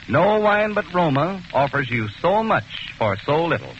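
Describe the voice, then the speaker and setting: A man reading a 1940s radio commercial as the announcer, smooth and emphatic. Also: a little high, very animated, a little slow, a bit bright, slight background noise. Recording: muffled old radio broadcast.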